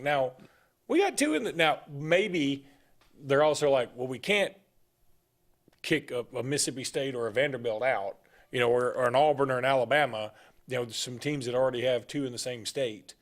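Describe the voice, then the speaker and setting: A middle-aged man talking sports on a casual show. He rambles and gives strong opinions, with plenty of jokes along the way. His pitch sits in the middle range for a man. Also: lively intonation, moderate pace. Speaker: sports talk show co-host.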